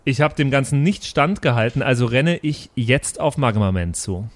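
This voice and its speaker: A man's voice: medium pitch, expressive, normal speed. A man chatting casually as a player in an improvised tabletop role-play show.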